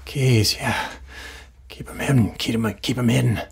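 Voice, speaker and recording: An actor playing a feverish, delirious husband in an old-time radio drama, mumbling and rambling paranoid lines in whispers. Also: male voice, mid-range voice, fairly even intonation, unhurried, vocal fry, slightly rough, heavily acted.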